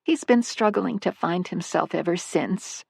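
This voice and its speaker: A woman voicing a fussy older woman who dotes on her cats, speaking shrilly and high. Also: measured pace, vocal fry, darkish tone, breathy edge, dry recording, full character voice. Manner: shrill and high, frantic when upset. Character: fussy older woman, doting cat lady.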